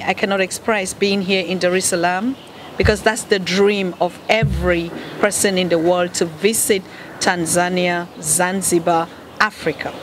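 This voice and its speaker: A woman's voice: mid-range voice, background noise. A middle-aged woman with a Cameroonian accent, giving a speech as a guest at a press conference. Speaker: guest speaker, media founder.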